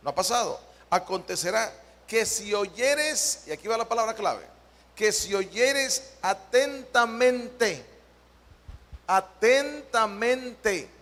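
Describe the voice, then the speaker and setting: A Salvadoran man preaching to his congregation in a slow, deliberate way, drawing out key words and putting questions to the people. His voice sits high and has a gravelly edge. The sound rings out with the long reverb of a church hall. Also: expressive, a bit bright. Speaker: pastor. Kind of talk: sermon.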